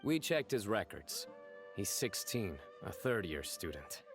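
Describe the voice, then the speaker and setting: An actor playing a stern, composed lawman in a video game, interrogating in a deliberate, authoritative way. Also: on the low side, big pitch swings, loud music behind the voice. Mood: matter-of-fact.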